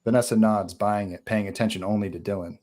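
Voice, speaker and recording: Low-voiced man narrating a script. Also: steady tone, quick pace, a little mellow. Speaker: narrator reading stage directions. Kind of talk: scripted narration at a live table read.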